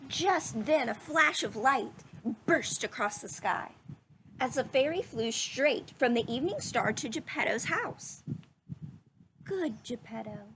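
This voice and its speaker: A woman's voice: high-pitched, lively intonation, a little slow, a bit bright, slight background noise, rough recording. A woman reading a children's story aloud expressively, with little asides to her listeners.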